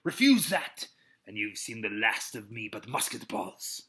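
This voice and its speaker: A man voicing a crafty, bullying old sea pirate, growling and swaggering in rough sailor talk as in an adventure storybook. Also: on the low side, very animated, a little slow, fairly bright tone, heavily acted. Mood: furious, threatening.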